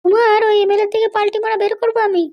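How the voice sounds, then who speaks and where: A woman's voice: very high-pitched, little pitch movement, very fast, muffled recording. A woman voicing a haughty, threatening female political boss in a comedy cartoon, bossy and indignant with a childlike voice. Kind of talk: Bengali comedy cartoon dialogue.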